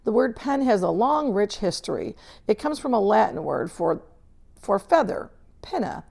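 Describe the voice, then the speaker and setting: Storybook schoolmistress, performed by a woman: stern, older, precise and controlled, with gravelly edges. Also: mid-range voice, big pitch swings, darkish tone, pitched above the performer's own voice. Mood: firm, probing. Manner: measured, precise, formal. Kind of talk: storybook read-aloud character dialogue.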